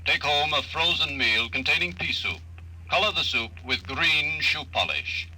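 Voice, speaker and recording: A man's voice: on the high side, unhurried, slightly rough, full character voice, rough recording. A man playing an emotionless computer in an old radio drama, speaking in a formal announcer manner. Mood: impersonal, matter-of-fact. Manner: flat, formal, announcer-like delivery. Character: emotionless talking computer answering questions. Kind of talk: 1950s radio drama acting. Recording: old radio recording, faint hum.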